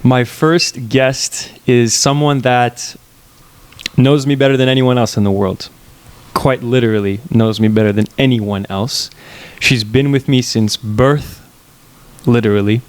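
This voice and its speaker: A man's voice: medium pitch, fairly even intonation, a little slow, clean tone. A young man in his twenties hosting a casual podcast chat on a headset mic, playful and laughing often, sometimes rambling. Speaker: podcast host.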